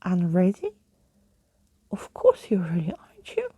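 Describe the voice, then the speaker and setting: Eager young storybook girl, very soft woman reading. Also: fairly low pitch, huge pitch swings, a little slow, husky, no echo, exaggerated voice. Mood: puzzled. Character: Emily, eager gap-toothed imaginative young girl.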